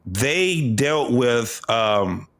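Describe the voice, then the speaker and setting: A man's voice: mid-range voice, lively intonation, drawn-out words, fairly bright tone. Emphatic man in his fifties, debating casually. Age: 50s. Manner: emphatic, repeats points, explains at length. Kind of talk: heated casual panel discussion.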